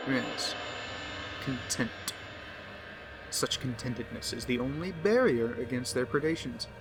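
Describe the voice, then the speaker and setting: A man voicing a pompous, unhinged occult diarist who scorns humanity, in theatrical, deliberate horror narration that lingers on words. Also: mid-range voice. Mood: ominous, warning. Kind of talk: dramatic horror story narration.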